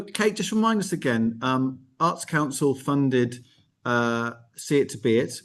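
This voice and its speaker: A man hosting a casual live arts call, talking in a chatty, discursive way. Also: medium pitch. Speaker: host of an arts network online call. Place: on location at an art gallery, live video call.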